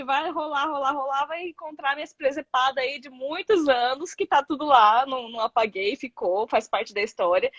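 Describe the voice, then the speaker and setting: A woman in her thirties, a guest content creator, talking in a rambling, expressive way with many fillers on a video call. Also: high-pitched, dry recording, lo-fi sound. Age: 30s. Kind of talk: casual live chat.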